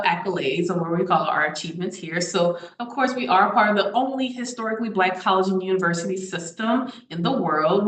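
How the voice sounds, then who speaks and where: A woman's voice: mid-range voice, roomy sound. A woman academic advisor, walking an audience through slides. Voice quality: slightly raspy from a cold. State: getting over a cold. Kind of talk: presenting a college info session.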